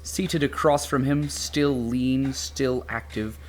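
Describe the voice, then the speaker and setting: A man playing a radio drama narrator, reading scripted scene-setting in a deliberate, dryly humorous, theatrical way. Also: mid-range voice, fairly even intonation, a little hiss or hum, lightly acted. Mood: wry. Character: wry, grandly somber male radio drama narrator.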